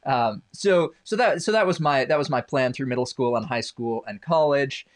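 A man's voice: medium pitch, moderate pace. A man who grew up in Indonesia, a podcast guest, telling his personal story with wry, self-deprecating humor. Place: home basement.

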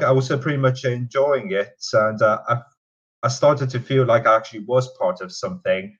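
Young man with a mixed Canadian-British accent. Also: mid-range voice. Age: 20s. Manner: hesitant, many fillers. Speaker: interviewee. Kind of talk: casual interview. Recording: dull lo-fi sound.

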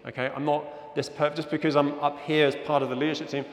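In a reverberant, echoey hall, a middle-aged man preaches in a fairly high voice. He is from Zimbabwe and speaks as an earnest, conversational church leader, regularly checking that his listeners follow. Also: level tone, fast talker. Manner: earnest, conversational, often seeking agreement with "yeah?". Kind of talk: informal sermon with slides.

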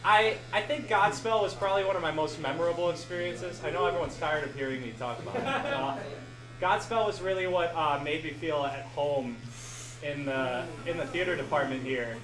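A man's voice: a little high, lively intonation, fairly quick, music in the background. Young male podcast host, rambling and self-deprecating, live Q&A feel, echoey room. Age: young adult. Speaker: podcast host answering audience questions. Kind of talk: casual live Q&A.

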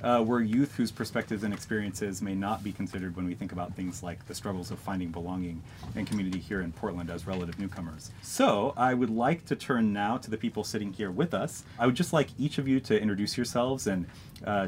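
A man hosting a podcast, introducing and interviewing in a measured way. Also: on the low side, fairly even intonation, darkish tone, slight background noise. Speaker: podcast host.

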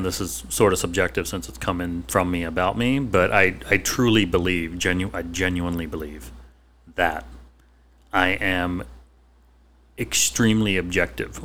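A middle-aged man, a physician and podcast co-host, talking in a measured, hesitant monotone on a studio mic. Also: low voice, slight background noise. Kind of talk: casual podcast chat.

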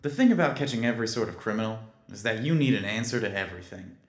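A man playing a brooding kobold detective in a noir audio drama, wry and terse, with dramatic pauses. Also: fairly low pitch, animated, no echo, soft background music. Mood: smug narration. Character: brooding male kobold detective, hard-boiled noir sleuth.

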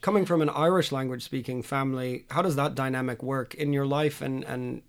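A man's voice: mid-range voice, fairly even intonation, a little fast. A man interviewing a poet. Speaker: interviewer, podcast host. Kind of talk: interview questions.

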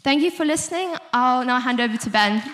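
A young woman with a South African accent and a fairly high voice delivers a short church talk. She follows a prepared sermon and slips into informal, conversational asides. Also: animated, brisk, a loud crowd, heavy background noise.

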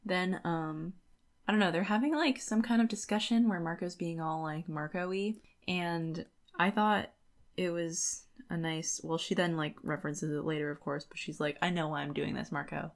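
Woman, low-pitched, podcast co-host; loose, informal book chat that rambles along. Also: moderate pace. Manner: informal, rambling, like-heavy phrasing. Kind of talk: casual book discussion chat.

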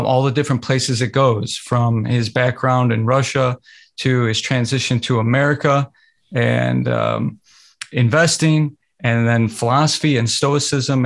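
A man's voice: medium pitch, vocal fry. A man hosting a podcast, introducing his guest from a script and then asking questions. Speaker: podcast host.

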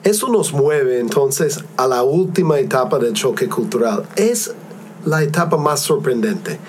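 A man hosting a discussion among missionaries, calmly explaining ideas and asking his guests questions. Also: mid-range voice, measured pace, slight background noise. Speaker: podcast host, missionary. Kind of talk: hosted discussion.

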